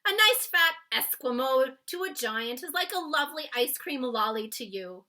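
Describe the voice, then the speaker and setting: A woman's voice: high-pitched, sing-song, moderate pace, pushed and bright, pitched above the performer's own voice, exaggerated voice. A woman voicing a big, friendly male giant from a children's storybook, with muddled grammar and nonsense words in an exclamatory manner. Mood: explaining with relish. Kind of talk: read-aloud children's storybook character.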